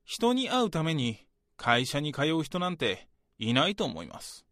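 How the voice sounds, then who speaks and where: A man's voice: fairly high pitch, sing-song, measured pace, a bit bright, no echo, clean studio sound. A man playing an ambitious, self-assured young office worker in a radio drama, speaking politely and earnestly to his senior. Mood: confident, earnest. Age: young adult. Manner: polite junior speech, talkative about ambitions. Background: background music.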